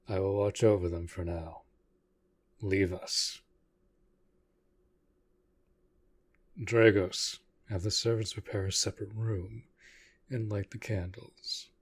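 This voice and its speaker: Guy doing a slow deep husky vampire lord, formal n commanding but protective, roleplay audio thing. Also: full character voice. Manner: formal, measured, deliberate speech. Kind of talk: intimate roleplay audio drama acting.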